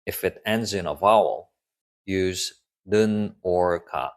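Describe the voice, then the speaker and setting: Korean man teaching in English, low voice. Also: measured pace. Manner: clear explanatory delivery, switching into Korean example sentences. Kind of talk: scripted online lesson.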